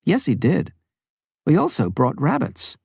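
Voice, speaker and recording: A man's voice: on the high side, huge pitch swings, unhurried, gravelly, darkish tone, slightly rough, no room sound, clean studio sound, pitched above the performer's own voice, exaggerated voice. A man reading the part of a matter-of-fact missionary woman in a memoir audiobook.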